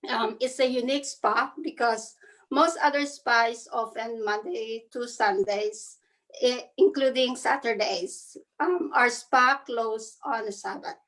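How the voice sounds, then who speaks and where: A woman in her thirties from the Philippines introducing herself on an online panel, earnest and halting, over a video call. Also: on the high side, fairly bright tone, poor audio. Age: 30s. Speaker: panelist, spa owner.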